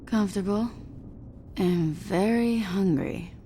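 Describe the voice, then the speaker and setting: Game villainess-heroine: tough gang boss, dry and commanding, mid-pitched, slight noise behind. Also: female voice, takes it slowly, mellow. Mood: weary, dry. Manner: blunt, dry, profane. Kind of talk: video game cutscene acting.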